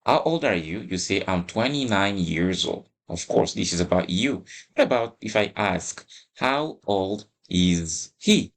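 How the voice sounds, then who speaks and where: A man's voice: lowish voice, expressive, measured pace. A man giving an instructional English lesson, explaining emphatically with plenty of repetition and frequent check-in questions. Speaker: English teacher.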